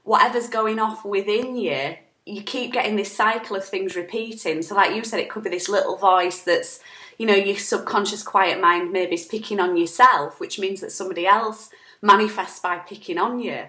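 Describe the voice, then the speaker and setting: A woman's voice: mid-range voice, moderate pace, sharp tone. A woman with a sore throat, co-hosting a casual self-help chat and talking emphatically, acting out quotes. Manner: chatty, emphatic, acts out quotes. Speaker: co-host. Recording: echoey room on an online call.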